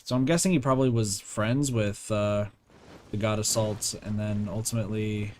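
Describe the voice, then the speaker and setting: A man's voice: on the low side, fairly even intonation, moderate pace, a little mellow, slight background noise. A young man giving casual game stream commentary, slangy and repetitive, with casual swearing. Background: game music.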